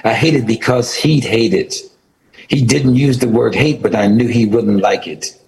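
A man reading aloud in the voice of a grieving sixteen-year-old narrator, somber and steady. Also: mid-range voice, normal speed, vocal fry, close to an everyday voice. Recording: roomy echo, faint noise.

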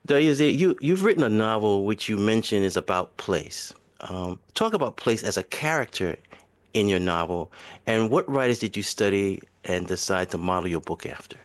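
Low-voiced male radio host. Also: lively intonation, normal speed. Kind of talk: radio interview.

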